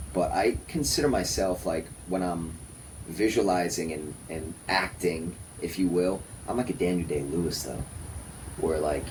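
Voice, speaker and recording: Deep-voiced man, noisy room recording. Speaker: podcast guest, coach. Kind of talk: casual reflective conversation.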